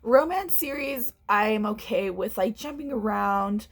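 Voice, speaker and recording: A woman's voice: mid-range voice, unhurried. Chatty congested young woman. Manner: chatty, rambling with restarts and "like". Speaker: book vlogger. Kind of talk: casual chat to camera. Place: home room.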